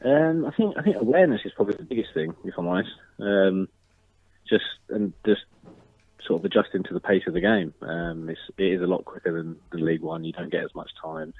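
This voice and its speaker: A man's voice: sits low, dry recording. Man on a tinny phone line. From Northern Ireland. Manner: measured, modest, many ums and restarts. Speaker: football striker, interview guest. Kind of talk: casual interview answers.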